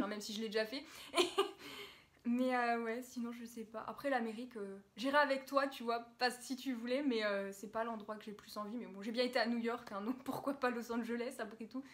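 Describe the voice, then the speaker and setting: Giggly young woman, bright higher voice. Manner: giggly, laughs often between phrases. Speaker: co-host of a lifestyle blog channel. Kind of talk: casual chat answering viewer questions.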